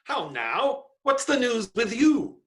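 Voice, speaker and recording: Worldly brothel madam acted by a woman, slow and drawn-out, highish sharp voice, theatrical grumbling, staged Shakespeare comedy. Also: sing-song. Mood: inquisitive.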